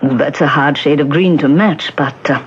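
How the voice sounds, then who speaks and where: A woman's voice: sits low, moderate pace, lightly acted. An actress playing a helpful, matter-of-fact woman shop clerk in a radio drama. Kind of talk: 1970s radio drama acting. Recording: muffled, noisy old radio broadcast.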